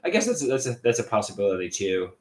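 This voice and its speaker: A man hosting a livestream, reading chat messages aloud and answering them, his voice a bit congested, with halting, stammering restarts that trail off. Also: lowish voice, big pitch swings, echoey room. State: slightly congested voice.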